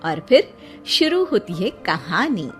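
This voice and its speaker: A woman's voice: mid-range voice, very animated, slow pace, soft background music. A woman narrating a scripted story as a storyteller.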